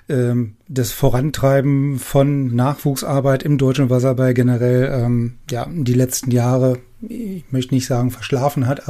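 Guy interviewer, mellow relaxed voice, casual chat, kinda hedges and checks you agree. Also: mid-range voice.

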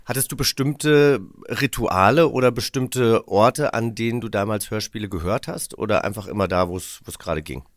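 A man's voice: on the low side, moderate pace, hardly any pauses, no echo. Man hosting in a dry studio sound. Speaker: interview host. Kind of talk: conversational podcast hosting.

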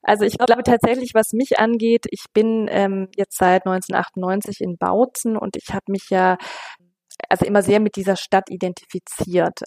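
A woman's voice: medium pitch, darkish tone, no room sound. A woman in her fifties from western Germany, speaking as an interview guest in a thoughtful, conversational way and searching for her wording. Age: 50s. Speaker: interview guest, local politician.

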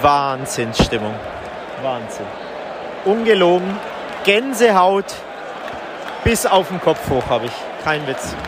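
A man reporting live and casually from a football match as a podcast host, speaking in an excited, raised voice. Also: a little high, takes it slowly, pauses often. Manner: excited, raised voice over stadium noise. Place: outdoors in a football stadium stand. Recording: loud stadium crowd noise, outdoor field recording. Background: loud cheering football crowd.

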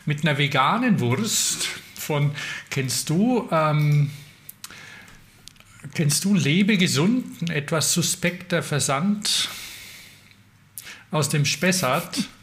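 A man's voice: on the high side, expressive, takes it slowly, pauses often, fairly bright tone. A man co-hosting a casual chat in a loose, meandering way, trailing off into vague asides.

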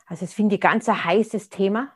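A woman's voice: mid-range voice, fairly even intonation, brisk, slightly rough. Thoughtful woman in her sixties. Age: 60s. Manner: thoughtful, searching for words with frequent restarts. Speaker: interview guest. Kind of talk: reflective conversational interview.

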